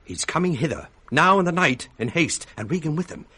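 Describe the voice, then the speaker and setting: An actor playing a scheming, false young brother with a British accent in a 1960s radio Shakespeare drama. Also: male voice, on the high side, animated, brisk, sharp tone, breathy edge, full character voice. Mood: urgent, conspiratorial.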